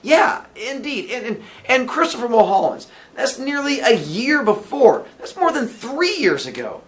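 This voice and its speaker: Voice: mid-range voice, very animated, measured pace, pitched above the performer's own voice. A reader voicing an earnest, polite young Englishman from a story, puzzled and insistent, speaking eagerly with hesitant, emphatic phrasing.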